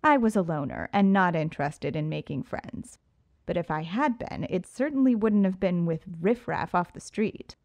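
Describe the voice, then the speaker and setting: Woman, audiobook narration, first person, sultry and poised, low-leaning pitch, dry close studio sound. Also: expressive, normal speed, a little mellow, no echo.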